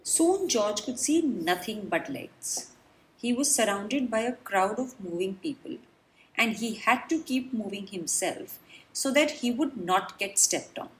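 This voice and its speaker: A woman reading a children's storybook aloud, expressive and dramatic, with deliberate pauses. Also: medium pitch, a little hiss or hum, low-quality recording. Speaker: children's book reader. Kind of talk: read-aloud storybook narration.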